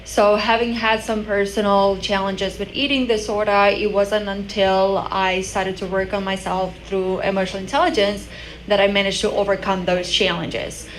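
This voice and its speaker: A woman's voice: mid-range voice, flat delivery, moderate pace, poor audio. A young woman with a Croatian accent telling her personal story as a startup founder on a conference panel. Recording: faint background noise, live event microphone.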